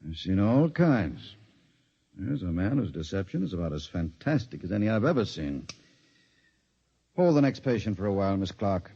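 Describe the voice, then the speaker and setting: A man acting a weary, placating psychiatrist with measured speech in a 1950s radio drama. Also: lowish voice, big pitch swings, mellow, breathy edge, full character voice. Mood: weary disbelief. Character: soothing, weary, patronizing male psychiatrist, middle-aged. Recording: dull, hissy 1950s radio recording.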